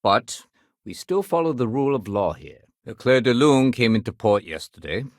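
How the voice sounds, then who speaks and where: A man's voice: mid-range voice, very animated, normal speed, no echo, clean studio sound. An actor playing a no-nonsense, dutiful male city security sergeant in a video game, with a clipped, official, wry delivery. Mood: stern, official.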